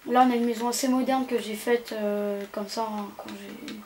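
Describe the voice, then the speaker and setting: A woman's voice: on the high side, fairly even intonation, normal speed, darkish tone. A young woman giving a casual, rambling, hesitant commentary as she tours a video game. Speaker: gaming channel host. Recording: amateur home recording with background noise.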